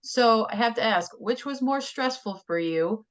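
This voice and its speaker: A woman's voice: on the high side, lively intonation, measured pace, lo-fi sound. A woman hosting a college sports interview, asking questions in an upbeat, friendly way. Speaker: interviewer host.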